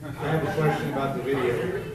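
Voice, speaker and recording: A man's voice: mid-range voice, fairly even intonation, fairly quick, a loud crowd. A man who is a delegate questioning the chair at a meeting, insistent and careful about exact wording. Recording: echoey hall from far off.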